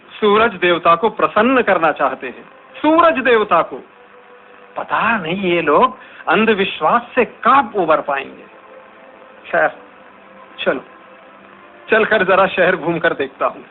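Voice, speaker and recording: A man acting in a radio drama as an anxious lone wanderer, musing aloud in a halting, theatrical way. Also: high voice, animated, full character voice. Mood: troubled musing. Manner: theatrical, halting, wondering to himself. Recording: tinny noisy narrow-band radio recording.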